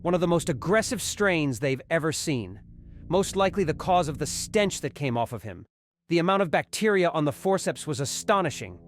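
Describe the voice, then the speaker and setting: A man performs an authoritative senior physician in a horror audio drama. He speaks formally and deliberately in a somewhat high voice. Faint ambient noise sits behind him. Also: animated, natural voice. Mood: grave, pondering. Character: senior male hospital doctor, grave and authoritative.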